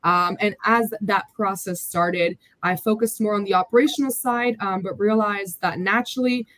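A woman's voice: medium pitch, fairly even intonation, moderate pace, a bit bright, no echo. An American woman guest, a bit under the weather, talking casually and chattily in an interview over a remote call. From United States. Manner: chatty, upbeat, talkative. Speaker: podcast guest.

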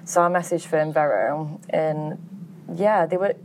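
A woman speaks in a lowish voice, chatty and easygoing. She fills her sentences with small fillers and laughs easily as she answers. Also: measured pace, darkish tone, a little hiss or hum. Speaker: farm grower. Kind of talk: casual interview answers.

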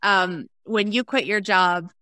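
A woman's voice: mid-range voice, takes it slowly, sharp tone, dry recording. A woman hosting a podcast, asking questions in a casual, chatty interview style. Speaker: podcast host.

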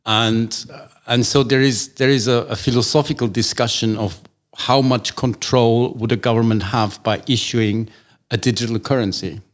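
An Austrian-born man talks through big ideas in an easy, rambling way. His voice sits at a middling pitch, and he restarts his sentences often as he thinks. It is a relaxed conversational discussion. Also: normal speed. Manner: expansive, thinks aloud with many restarts. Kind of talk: casual discussion.

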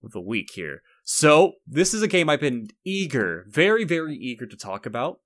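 A man's voice: fairly high pitch, sing-song, moderate pace, fairly bright tone, no room sound, studio quality. A man co-hosting a podcast in casual chat, rambling and often cutting his own sentences off to start again. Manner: rambling, self-interrupting. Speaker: podcast co-host.

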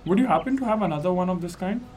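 A man's voice: sits high, expressive, rapid-fire, darkish tone. A young man playing a loving but exasperated, practical husband in an amateur radio drama, earnest and plain-spoken. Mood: resigned, polite. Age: young adult.

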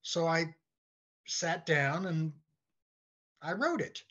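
Older male interview guest, fairly high voice, hesitant word-repeating delivery, muffled lo-fi track. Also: lively intonation, fairly bright tone. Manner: halting, repeats words as he searches for phrasing. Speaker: guest, playwright and comedy writer. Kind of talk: casual interview chat. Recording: dull, lo-fi voice track.

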